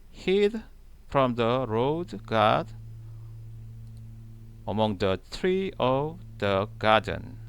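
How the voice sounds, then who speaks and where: A man reading scripture aloud in a deliberate manner, pausing between short, halting phrases. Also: medium pitch, fairly bright tone, smooth. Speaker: channel host reading the Bible aloud. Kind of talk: slow scripture read-aloud.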